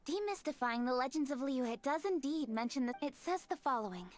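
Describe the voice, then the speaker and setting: A formal young noble lady for a game, high voice, composed n decisive. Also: lively intonation, fairly quick. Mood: composed, businesslike. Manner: formal, articulate. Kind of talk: video game voice acting.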